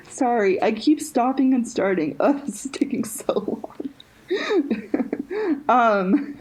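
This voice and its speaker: A young woman co-hosting a podcast in casual chat, halting, with frequent restarts and quick laughs between phrases. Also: fairly high pitch, sing-song, a little mellow, slightly rough, poor audio. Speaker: podcast co-host.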